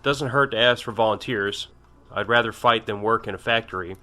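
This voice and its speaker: A man's voice: fairly low pitch, fairly quick, bright, forceful tone, faint music behind. A man playing a loyal, frank and kindly military right-hand man in an audio drama.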